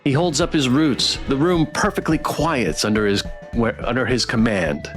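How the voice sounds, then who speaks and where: A man's voice: medium pitch, moderate pace, over music. A man reading a visual novel aloud as a streamer, with a dramatic storyteller delivery. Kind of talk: expressive read-aloud narration.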